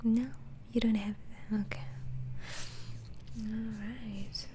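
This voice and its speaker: A woman whispering softly and breathily in an ASMR role-play, gently asking halting questions as an interviewing doctor. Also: a little high, level tone, a little hiss or hum.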